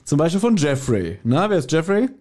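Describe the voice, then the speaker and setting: Guy podcast host, casual n opinionated, slightly high mellow voice, chatting away. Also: sing-song, brisk. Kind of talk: casual chat reviewing an audio drama, reading aloud.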